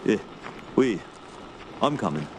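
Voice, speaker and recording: Low male voice acted, backwoods guide, superstitious, hesitant muttering, radio drama, noisy outdoor ambience. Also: very animated, takes it slowly. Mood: uneasy, ominous. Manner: rustic dialect, hesitant muttering. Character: uneasy backwoods Canadian guide, superstitious, evasive.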